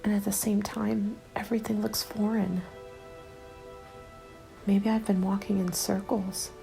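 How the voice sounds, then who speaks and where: A woman narrating a first-person ASMR story in a soft, breathy, mostly whispered voice, with a hushed and deliberate delivery. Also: mid-range voice, level tone, rough recording. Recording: soft music under the voice. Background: instrumental music.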